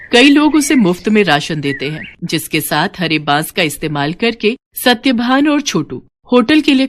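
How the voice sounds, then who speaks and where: A woman reading a scripted moral story as narrator, in an expressive storytelling manner. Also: on the low side.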